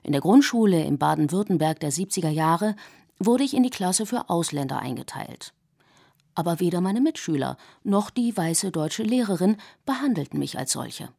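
Low, gravelly woman reading an essay. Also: animated, a little mellow. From Germany. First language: English. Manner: measured, reflective reading. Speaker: essay author and narrator. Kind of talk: scripted radio essay narration.

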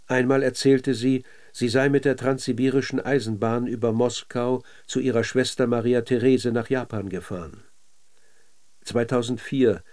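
Male narrator, scripted radio documentary, sober, measured, mid-pitched, dry acoustic. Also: a little mellow, dry recording.

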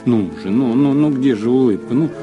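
An actor in an audio drama playing a sleazy, mocking blackmailer husband, wheedling and drawling with gloating repetitions. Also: male voice, medium pitch, fairly quick, highs cut off, music in the background, close to an everyday voice. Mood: menacing, wheedling.

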